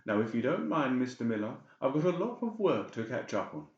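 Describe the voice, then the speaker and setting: A man's voice: medium pitch, sing-song, a little fast, roomy sound, highs cut off, pitched above the performer's own voice, lightly acted. A man voicing a cold, manipulative doctor in a horror audiobook, mock-polite and menacing. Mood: cold, sharp. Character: cold, conniving, balding doctor, falsely soothing villain. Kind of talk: dramatised horror audiobook dialogue.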